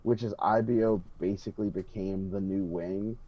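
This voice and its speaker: Man, lowish voice, casual rambling podcast chat, repeats himself, muffled close recording. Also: fairly even intonation, normal speed. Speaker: podcast panelist. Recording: dull, close, dry lo-fi voice track.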